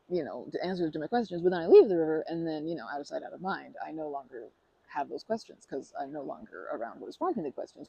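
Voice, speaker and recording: A woman doing an ASMR roleplay as a polite stranger, talking softly with hesitant, rambling chatter and many restarts, sometimes dropping to a whisper. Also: deep voice, gravelly, slightly rough, no room sound, poor audio. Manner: soft-spoken, drops to whispers.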